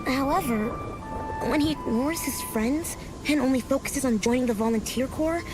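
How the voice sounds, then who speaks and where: A woman's voice: high voice, normal speed, lightly acted. A woman voicing a small, cute companion creature in a video game, childlike, curious and cheeky. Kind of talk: video game cutscene acting. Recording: music behind the voice.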